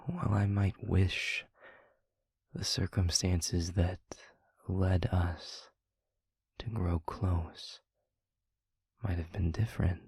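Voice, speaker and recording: A tender demon lover acted by a man murmurs very slowly, up close in a dry, intimate audio roleplay. The voice is breathy and very husky, and the phrasing is eloquent and florid, with a wry, devoted temper. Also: sits low, steady tone, a little mellow, dry recording, exaggerated voice. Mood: tender, earnest. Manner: slow, florid, deliberate phrasing.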